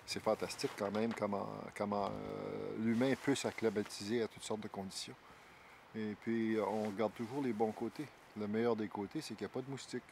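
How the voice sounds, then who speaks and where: A man in his sixties with a Québécois accent, speaking in a measured, halting way with many fillers in a documentary interview. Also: fairly low pitch, steady tone, gravelly, a little mellow, slight background noise. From Quebec, Outaouais. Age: 60s. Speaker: interviewee, longtime local resident.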